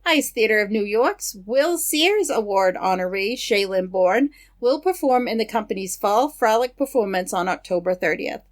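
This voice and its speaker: A woman co-hosting a podcast, reading news items in a steady, matter-of-fact way with casual asides. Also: medium pitch, normal speed, hardly any pauses. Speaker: podcast co-host.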